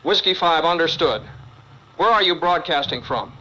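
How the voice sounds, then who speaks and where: A man's voice: on the high side, animated, moderate pace, pushed and bright, slight background noise, low-quality recording. A man playing a wary survivor radio operator in an audio drama, speaking in clipped, urgent phrases over a two-way radio. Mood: businesslike.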